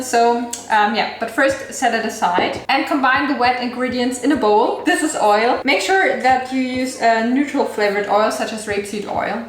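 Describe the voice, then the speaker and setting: A woman's voice: fairly high pitch, reverberant. German woman hosting a cooking vlog. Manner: chatty, laughs at herself. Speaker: cooking channel host. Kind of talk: recipe walkthrough vlog.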